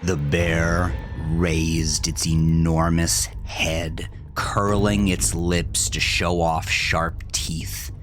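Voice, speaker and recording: A man reading a scripted first-person horror story in a measured, deliberate, dramatic way. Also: deep voice, little pitch movement, faint music behind. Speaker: narrator of a horror fiction podcast.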